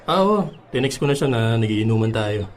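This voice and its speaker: A man's voice: medium pitch, lively intonation, normal speed, a faint crowd, close to an everyday voice. An actor playing a cocky, reassuring young male friend in a Filipino radio drama, speaking casually.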